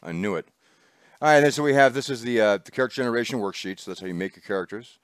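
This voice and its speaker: A man's voice: medium pitch, expressive, very fast, clean tone, dry recording. A man hosting a casual chat show, rambling and laughing as he talks. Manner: chatty, rambling, laughs often. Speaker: host. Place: small studio.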